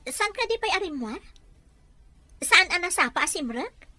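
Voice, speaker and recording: A woman's voice: extremely high pitch, big pitch swings, measured pace, pushed and bright, faint music behind, heavily acted. A woman with a Filipino accent playing an anxious young daughter in an Ilocano audio drama. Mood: worried. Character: anxious young daughter fretting over her mother.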